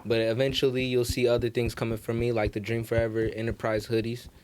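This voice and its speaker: A man talks as a podcast guest in casual, slangy speech. He is emphatic, circling back on phrases and swearing freely. His voice sits at a middle pitch, with clear noise behind it. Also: monotone, fast talker, a little mellow. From Salinas, California, United States. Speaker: podcast guest, local rapper and promoter. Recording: clear background noise with clicks.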